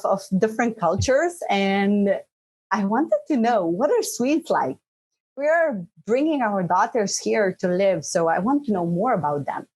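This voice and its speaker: A middle-aged woman from Slovenia whose first language is Bosnian, telling her story as a podcast guest in a lively, rambling way, full of fillers and laughs. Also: medium pitch, breathy edge. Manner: lively, frequent "like" and "you know" fillers, laughs often. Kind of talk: casual interview talk.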